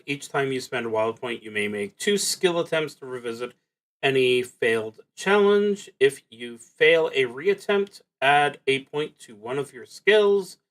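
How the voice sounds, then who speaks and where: Male solo RPG streamer, unhurried and halting, mid-range voice, casual think-aloud narration with muttered asides. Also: mid-range voice, a bit bright. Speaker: solo roleplaying game streamer. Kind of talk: casual game play narration, thinking aloud and reading prompts.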